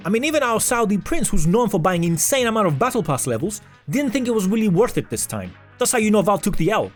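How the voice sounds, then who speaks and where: High-pitched, lively young-sounding man narrating news. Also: fast talker, faint music behind. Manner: sarcastic, jokey, casual with swearing. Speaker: video host. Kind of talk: scripted esports news narration.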